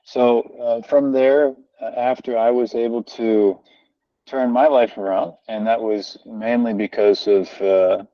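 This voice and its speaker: A man's voice: medium pitch, steady tone, unhurried, no room sound. An American man talking on a video call from home, earnest and rambling, with many fillers and restarts. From United States. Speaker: guest, founder of a social organization. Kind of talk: casual conversational interview. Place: home, family moving about behind him. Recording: dull, lo-fi video call audio.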